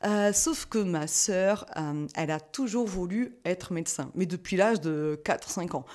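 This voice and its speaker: Middle-aged woman guest, chatty, rambling, quick to laugh. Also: lowish voice, darkish tone. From France. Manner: chatty, many fillers and restarts, laughs easily. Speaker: guest, physiotherapist. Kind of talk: casual interview conversation.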